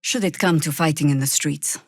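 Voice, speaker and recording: A woman voicing a weary, sardonic veteran druid warrior in a fantasy video game, speaking in a dry, measured way. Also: low voice, no echo. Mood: grave, resolute.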